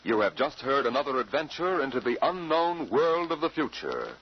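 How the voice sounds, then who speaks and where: A man's voice: mid-range voice, very animated, moderate pace. A man with an American accent announcing in a dramatic, formal 1950s radio style. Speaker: radio series host and announcer. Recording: dull vintage radio broadcast. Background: loud music.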